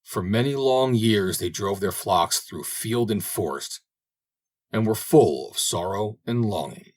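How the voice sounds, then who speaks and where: Male audiobook narrator for fairy tales: husky, highly animated, theatrical storyteller. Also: unhurried. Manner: dramatic, expressive storytelling. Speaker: audiobook reader. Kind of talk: scripted fairy tale narration.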